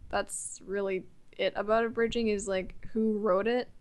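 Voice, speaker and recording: A woman's voice: medium pitch, little pitch movement, normal speed. A woman chatting casually as a podcast guest, informal and giggly, peppering her talk with "like". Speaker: podcast guest, amateur voice actor. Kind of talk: casual chat.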